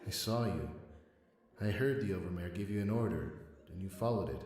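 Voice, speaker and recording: Man acting concerned pony co-worker, dark soft timbre, folksy, reproachful, audio drama, very echoey, faint music. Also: deep voice, a little fast.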